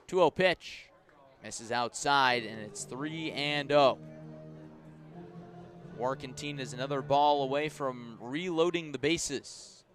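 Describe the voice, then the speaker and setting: A man calling a baseball game live on the radio, describing each pitch in measured phrases and lifting his voice for key plays. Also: a bit bright. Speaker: baseball play-by-play announcer. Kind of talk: live radio sports commentary.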